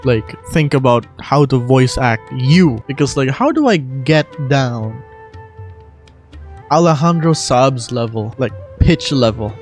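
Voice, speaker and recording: A woman's voice: extremely low pitch, animated, slow pace, darkish tone, faint music behind. A woman with a blocked nose giving casual, sweary, self-deprecating commentary while mouth breathing. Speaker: video creator attempting voice acting.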